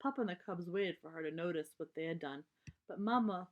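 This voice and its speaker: A woman's voice: on the low side, brisk, highs cut off. A woman reading a children's storybook aloud, sometimes stumbling over words and repeating them. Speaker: storybook reader.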